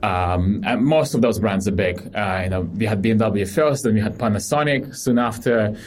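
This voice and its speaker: A startup founder from Ukraine in his thirties, speaking with a fairly low voice. He talks casually and at length, stringing thoughts together with lots of fillers and restarts. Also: normal speed. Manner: relaxed, rambling with many fillers and restarts. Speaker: guest, startup CEO. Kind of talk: casual interview talk.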